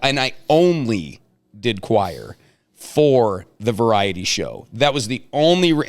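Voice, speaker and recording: A middle-aged man hosting a casual chat, telling anecdotes and joking as he prods his guests. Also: medium pitch. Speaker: show host.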